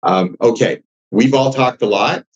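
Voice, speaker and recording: A man giving an informal presentation as a software developer, wordy and encouraging as he moderates for the audience. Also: medium pitch, measured pace, lo-fi sound.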